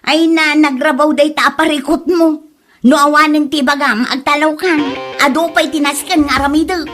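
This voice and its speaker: A woman's voice: high-pitched, steady tone, moderate pace, music in the background, lightly acted. A woman acting a clueless, defensive content creator in an amateur comedy drama. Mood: defensive, puzzled. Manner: defensive, offhand.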